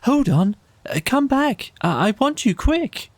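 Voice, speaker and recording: A man's voice: extremely high pitch, sing-song, unhurried, no echo, pitched above the performer's own voice, exaggerated voice. A man voicing a small, homesick mole in a storybook audio play, anxious and pleading, with urgent stammers. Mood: excited, urgent.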